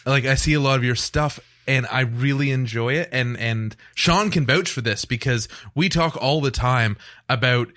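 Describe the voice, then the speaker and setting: A man's voice: medium pitch, moderate pace, few pauses, a bit bright. A man co-hosting a studio podcast, asking long, looping questions and joking with his guest. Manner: chatty, joking, asks long rambling questions. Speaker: podcast co-host. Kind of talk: casual studio chat.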